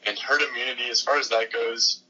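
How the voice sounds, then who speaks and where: A man of twenty answering interview questions thoughtfully, pausing between phrases. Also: medium pitch, fairly even intonation, sharp tone, slightly rough, dull audio. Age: 20s.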